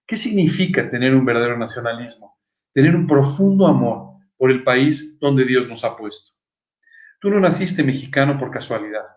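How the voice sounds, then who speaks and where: Male preacher, mid-pitched, earnest teaching delivery, echoey and slightly dull recording. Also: lively intonation, normal speed. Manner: earnest, didactic, rhetorical questions. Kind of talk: sermon. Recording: echoey room, dull muffled sound.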